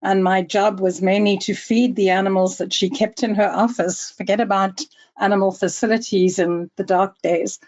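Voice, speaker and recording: Zimbabwean lady in her 60s telling research stories, calm and measured, kinda muffled audio, mid pitch. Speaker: guest researcher. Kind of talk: interview answers, storytelling.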